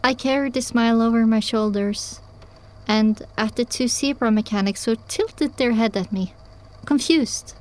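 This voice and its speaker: A woman playing a young pony mare who tells her own story in earnest, measured audiobook narration. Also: a little high, lightly acted. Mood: reflective, earnest. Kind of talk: scripted first-person audiobook narration.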